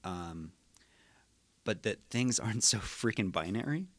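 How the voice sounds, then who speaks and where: A man speaking as a podcast guest, thoughtful and hesitant, with many pauses and restarts. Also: lowish voice, lively intonation, dry recording. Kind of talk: casual conversation.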